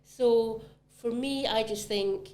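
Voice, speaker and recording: A woman's voice: a little high, takes it slowly, darkish tone. A woman from Scotland with a Scottish accent, talking about retail property in a casual podcast conversation, enthusiastic and full of ums. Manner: chatty, enthusiastic, many ums. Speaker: commercial property manager.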